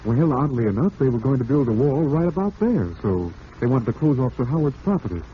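An actor playing a smooth-talking, falsely cheerful husband with murder in mind, in old-time radio drama style. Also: male voice, medium pitch, animated, fairly quick, close to an everyday voice. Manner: suave, falsely cheerful, darkly ironic. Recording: dull, noisy vintage radio recording.

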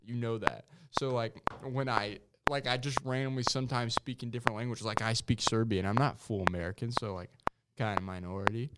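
A man's voice: medium pitch, fairly even intonation, a little fast, hardly any pauses. A young man chatting casually in a slangy, joking way. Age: young adult. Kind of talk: casual chat. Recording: very noisy recording with clicks.

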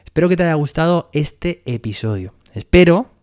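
A man's voice: fairly high pitch, lively intonation, moderate pace, a little mellow. A man with a Spain Spanish accent, a teacher hosting a solo educational podcast, explaining patiently and checking in with the listener.

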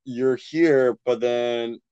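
A man's voice: mid-range voice, fairly even intonation, extremely slow, warm tone, clean tone, no room sound, muffled recording. A young man talking reflectively in casual conversation, searching for words with many "like" fillers. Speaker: podcast co-host.

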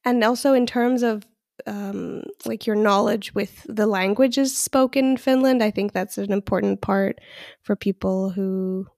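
A woman hosting a birth story podcast as a doula, friendly and quick to laugh, with many fillers. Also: mid-range voice, fairly even intonation, gravelly, a little mellow, no echo, clean studio sound. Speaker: podcast host and postpartum doula. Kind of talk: podcast interview with scripted intro.

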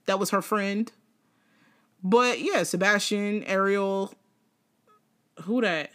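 A young woman co-hosting a podcast in casual chat, animated, laughing often and swearing freely. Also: medium pitch, slow pace. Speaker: podcast co-host.

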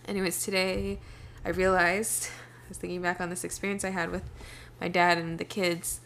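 A woman's voice: lowish voice, flat delivery, normal speed, creaky, fairly bright tone. A woman hosts a podcast, chatting casually and self-deprecatingly, often trailing off and restarting. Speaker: podcast host. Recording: faint background noise.

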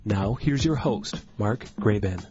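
A man announcing a show intro in a slow, deliberate scripted read. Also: on the low side, expressive. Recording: dull lo-fi intro over music. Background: music.

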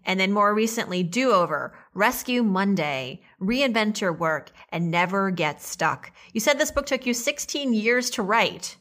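A woman's voice: mid-range voice, lively intonation, unhurried, few pauses, a bit bright. Woman hosting a podcast interview. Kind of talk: upbeat podcast introduction and interview.

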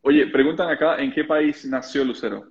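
A man's voice: mid-range voice, moderate pace. A man hosting a live-stream interview, asking long rambling questions with frequent restarts. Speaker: host, interviewer. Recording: dull lo-fi audio.

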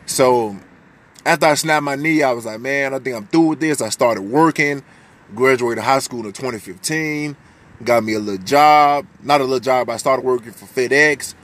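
A young man in his twenties from the United States with a deep voice, talking candidly and loosely in a personal podcast monologue, swearing freely and restarting his sentences. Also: normal speed, fairly bright tone. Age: 20s. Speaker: podcast host.

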